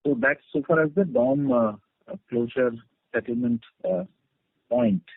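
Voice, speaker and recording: Gravelly, husky Indian man on phone. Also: mid-range voice, sing-song, no echo. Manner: halting, choppy phrasing with frequent hesitations. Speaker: company executive. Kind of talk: semi-formal investor update. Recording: dry, tinny phone conference line.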